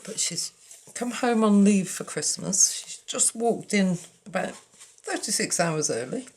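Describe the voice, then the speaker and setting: Slow gravelly lady doing a craft tutorial, husky, chatty and a bit self-deprecating, mumbling as she works. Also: medium pitch, animated. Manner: chatty, self-deprecating, murmuring while working. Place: indoors at a craft table. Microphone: overhead camera on the work, speaker off frame.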